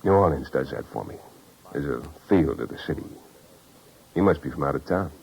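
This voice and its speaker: An actor playing a wry, tough private detective in clipped 1950s radio style. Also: male voice, very deep voice, lively intonation, fairly quick, gravelly, darkish tone, husky, exaggerated voice. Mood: cool, tough. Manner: wry, hard-boiled, clipped. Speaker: lead actor and narrator. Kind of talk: 1950s radio detective acting, first-person narration. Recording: dull 1950s radio recording, music behind.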